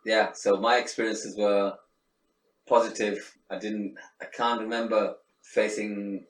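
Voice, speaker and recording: A man's voice: lowish voice, level tone, measured pace. A middle-aged man from Sri Lanka, talking in a reflective, casual interview with many restarts and fillers. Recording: echoey room, faint background noise, mic at a distance.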